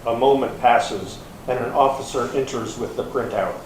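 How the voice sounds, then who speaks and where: A man's voice: medium pitch, normal speed, close to an everyday voice. A man narrating scripted stage directions for a readers theater. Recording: very echoey room, distant, faint noise.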